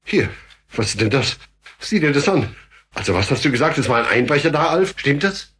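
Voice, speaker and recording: Flustered family dad, very lively pitch. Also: male voice, a little fast, husky. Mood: exasperated. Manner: flustered, scolding. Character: exasperated, anxious suburban family father. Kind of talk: German radio play dub acting.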